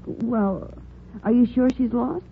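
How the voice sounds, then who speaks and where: A woman's voice: a little high, slow pace, creaky. A woman playing a polite, concerned young elevator operator in a 1940s radio drama, speaking earnestly and plainly. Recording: tinny, slightly noisy 1940s radio recording.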